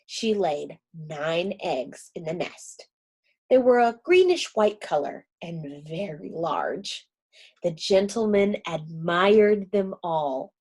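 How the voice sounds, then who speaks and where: A woman reading a children's storybook aloud, gentle and expressive. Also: lowish voice, slow pace, breathy edge.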